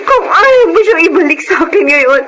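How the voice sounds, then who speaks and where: A woman's voice: very high-pitched, big pitch swings, normal speed. A woman with a Filipino accent playing a remorseful young mother desperate to get her child back, in radio drama style. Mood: anguished, sobbing. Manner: pleading, tearful. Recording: loud dramatic music behind.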